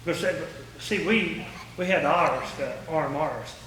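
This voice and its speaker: Male speaker for an informal talk, folksy storyteller, forceful higher voice, distant in a very echoey room. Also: unhurried. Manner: folksy, anecdotal. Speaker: guest speaker, former air traffic controller. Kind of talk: informal public talk with question and answer. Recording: echoey room, distant mic, faint noise.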